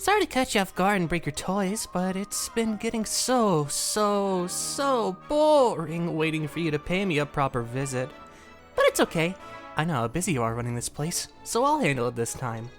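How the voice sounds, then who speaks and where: Impish male jester creature, played by a woman for an audio drama; lively, teasing, faint music bed. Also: on the low side, normal speed. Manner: giggly, singsong teasing. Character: impish male jester made of dark matter.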